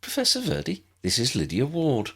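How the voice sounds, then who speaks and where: A man's voice: medium pitch, wildly swooping pitch, moderate pace, a bit bright, breathy edge, pitched above the performer's own voice, full character voice. A man voicing a cheerful, confident teenage schoolgirl prefect in an audiobook, friendly and chatty. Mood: polite, eager.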